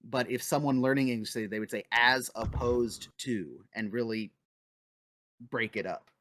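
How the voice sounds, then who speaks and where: A man hosting a podcast in a relaxed, conversational way, often trailing off quietly. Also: mid-range voice, moderate pace, a little hiss or hum. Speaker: podcast host. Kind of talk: casual podcast chat.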